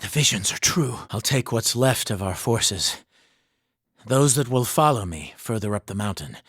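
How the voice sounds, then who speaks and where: An actor playing a proud, devout and haunted mercenary commander in a fantasy video game, speaking in a grave, formal, accusatory manner. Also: male voice, lowish voice, expressive, moderate pace, fairly bright tone, husky, dry recording, studio quality. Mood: weary, sober. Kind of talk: dramatic fantasy game voice acting.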